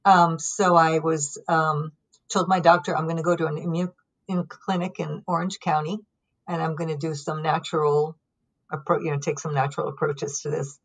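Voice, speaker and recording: A middle-aged woman from Lebanon, a podcast guest, telling her story calmly and reflectively with frequent pauses and ums. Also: sits low, poor audio. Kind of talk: conversational storytelling.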